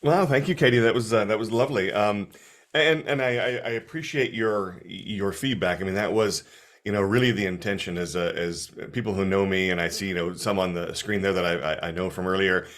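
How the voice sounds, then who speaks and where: Deep-voiced man speaking quickly. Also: fairly bright tone. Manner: appreciative, thoughtful, restarting. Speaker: guest speaker and author. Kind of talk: casual talk. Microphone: video call.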